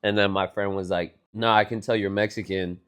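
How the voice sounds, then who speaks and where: A man's voice: on the low side, steady tone, a little fast, fairly bright tone, clear, resonant tone. A young man from Texas co-hosting a podcast, chatting casually and swearing freely as he retells conversations. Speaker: podcast co-host. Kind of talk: casual chat.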